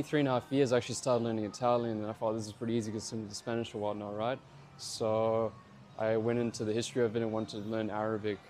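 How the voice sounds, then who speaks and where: A man's voice: fairly low pitch, a little mellow. A young man with a New Zealand accent casually telling his own story in an informal vlog, addressing his viewers. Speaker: language-learning vlogger.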